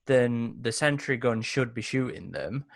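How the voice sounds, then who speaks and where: A man's voice: medium pitch, expressive, a little slow, creaky. A man in casual discussion as a player in a tabletop roleplaying game.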